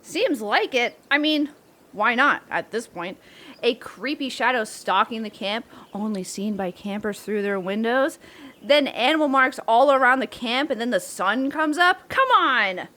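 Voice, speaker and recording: A playful young woman camper , acted by a woman in an audio drama. Her voice sits fairly high and leaps around with lots of animation. She is bold and excitable, teasing her friends in casual slang. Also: unhurried. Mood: eager, bold. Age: young adult. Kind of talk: roleplay audio drama acting.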